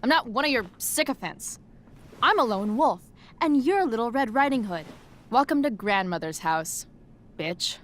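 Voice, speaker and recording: A woman's voice: fairly high pitch, expressive, moderate pace, natural voice. An actress playing a rebellious teenage girl, blunt, biting and sarcastic, in a video game drama. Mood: defiant, mocking. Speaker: voice actor.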